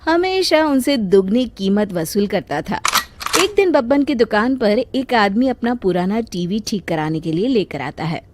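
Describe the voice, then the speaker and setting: A woman's voice: mid-range voice, animated, a little slow, noisy background. A woman storyteller narrating a scripted tale for children.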